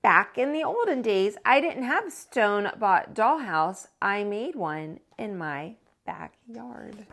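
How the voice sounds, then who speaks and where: A woman voicing a storybook grandmother who reminisces in a measured, nostalgic way. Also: mid-range voice, lively intonation, gravelly, a bit bright, full character voice. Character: grandmother reminiscing about homemade childhood toys.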